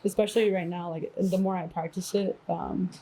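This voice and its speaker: Woman, young adult, podcast host, mellow dark tone, casual swearing, filler-heavy chat. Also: lowish voice, fairly even intonation, moderate pace, slight background noise, low-quality recording. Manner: casual and profane, lots of fillers.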